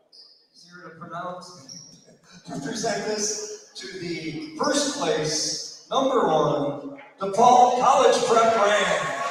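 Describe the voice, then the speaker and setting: A man's voice: high-pitched, fairly bright tone. A man making formal award-ceremony announcements over a public address system in a large gymnasium. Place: large indoor school gymnasium. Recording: echoey gym PA, distant, faint noise.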